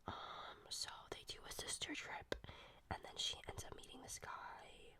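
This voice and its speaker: A young woman with a cold, whispering a casual, rambling ASMR book review full of fillers. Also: measured pace. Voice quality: soft unvoiced whisper. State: sick. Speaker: ASMR creator.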